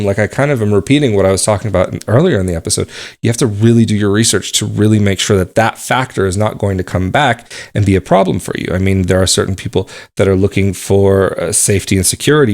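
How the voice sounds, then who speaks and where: A man's voice: lowish voice, darkish tone. A man hosting his own podcast, talking casually and at length, often restarting and hedging his points. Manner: conversational, rambling, many restarts and qualifiers. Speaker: podcast host. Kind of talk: casual solo podcast monologue.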